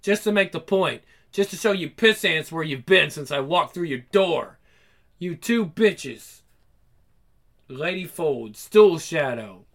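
A cruel, gloating comic-book villain, performed by a man with a high, piercing voice. He threatens and taunts crudely, relishing his power over everyone listening. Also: animated, slow, a bit bright, heavily acted. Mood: gloating menace. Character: sadistic foul-mouthed villain possessing a woman's body.

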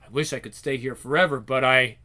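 A performer voicing a gentle, loving old grandfather who speaks tenderly in a story. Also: mid-range voice, steady tone, a little fast, pitched below the performer's own voice, natural voice. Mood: tender, regretful.

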